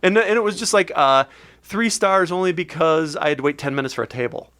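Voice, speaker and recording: A man hosts a comedy podcast and tells rambling, animated stories. His voice sits a little high for a man. The tone is loose and casual. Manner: rambling, quick, animated storytelling. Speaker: podcast host. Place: basement studio.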